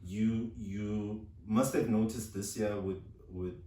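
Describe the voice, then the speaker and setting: A man's voice: fairly low pitch, fairly even intonation, slow pace, warm tone, heavy reverb, distant mic. A man from South Africa co-hosting a podcast in casual chat, who stutters and restarts his words. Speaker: podcast co-host. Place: recording studio.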